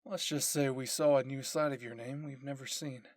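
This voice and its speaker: A man's voice: medium pitch, steady tone, normal speed, no echo, studio quality, natural voice. A man playing a protective, stubborn young male soldier in an audio story, with a firm, insistent manner. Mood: matter-of-fact.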